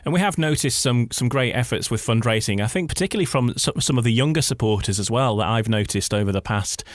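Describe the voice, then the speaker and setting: A man's voice: medium pitch, quick pace, dry recording. A man hosting a conversational radio interview, building wordy questions with repeated words.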